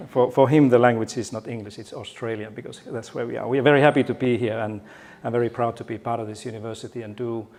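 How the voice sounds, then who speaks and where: A man's voice: mid-range voice, a little mellow. Finnish guy doing a keynote at a conference, middle-aged, chatty and jokey, restarts his sentences a lot. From Finland. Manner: friendly, joking with the audience, many restarts. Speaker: keynote speaker. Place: conference hall.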